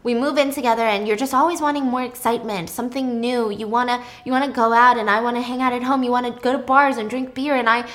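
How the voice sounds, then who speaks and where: A woman's voice: fairly high pitch, fairly even intonation, quick pace, hardly any pauses, fairly bright tone, lightly acted. A woman voicing an upset, accusing young boyfriend in a dramatic spoken story, stammering and exclaiming. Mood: hurt, sheepish.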